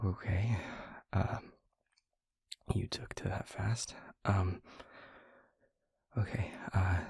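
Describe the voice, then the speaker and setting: A very breathy, husky vampire boyfriend acted by a man murmurs low and half-whispered in an intimate audio roleplay. He is gentle and concerned, hesitating often as he reassures his partner, with a slow, careful delivery. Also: no echo, heavily acted. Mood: relieved, soothing. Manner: soft, hesitant, halting, reassuring.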